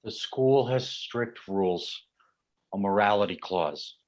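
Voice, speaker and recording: A man acting a concerned but unbending school official, speaking in a quiet, measured way in a stage play reading. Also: on the low side. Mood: firm, grave.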